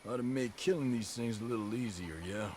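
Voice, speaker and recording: A man playing a gruff, confident special forces soldier in a video game cutscene, speaking in a terse, understated military manner. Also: mid-range voice, brisk, a little mellow, slight background noise, close to an everyday voice. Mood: calm, wry.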